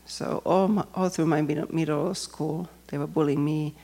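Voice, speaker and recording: A woman from Finland with a Finnish accent, speaking English as a second language and giving a personal testimony in a careful, hesitant way with frequent pauses. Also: deep voice, steady tone, gravelly, mellow. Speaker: church member giving testimony.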